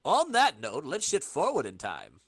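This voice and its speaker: A man's voice: fairly high pitch, wildly swooping pitch, moderate pace, a bit bright, breathy edge, no room sound. A man hosting a podcast, narrating a comic dramatic reading and bantering with others.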